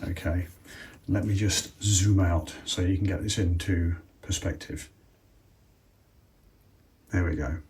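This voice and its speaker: A British man with a low-pitched voice presents a practical how-to. He explains each step casually as he works through it. His delivery is informal and unhurried, as though he is thinking aloud. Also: fairly even intonation, a little mellow. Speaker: presenter of a product installation tutorial. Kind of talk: casual step-by-step hands-on demonstration.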